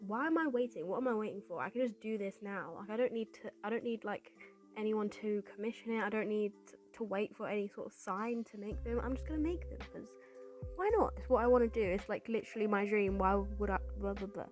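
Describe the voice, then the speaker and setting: Young female vlogger, mid pitch, slightly raspy and stuffy, chatty voice-over with clear music under it. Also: fairly quick, a little mellow. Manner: chatty, rambling with fillers and restarts. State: congested from a cold. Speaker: artist vlogger. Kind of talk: casual voice-over chat.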